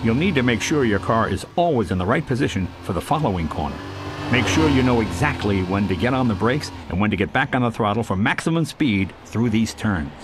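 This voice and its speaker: Man narrating driving lessons, very lively pitch. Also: a little fast. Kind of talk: scripted instructional narration. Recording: loud race car engine noise. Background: racing game car sounds.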